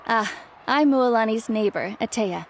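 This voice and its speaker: A woman's voice: on the high side, unhurried, slight background noise. A woman voicing a teasing, motherly neighbor in an anime game, familiar and friendly but firm. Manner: familiar, playfully scolding.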